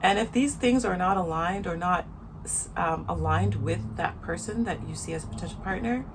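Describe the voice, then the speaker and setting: A woman with a soft voice giving her frank opinions in a casual vlog, talking outdoors in the sun and repeating her points for emphasis. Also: on the low side, moderate pace, background noise. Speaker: vlogger.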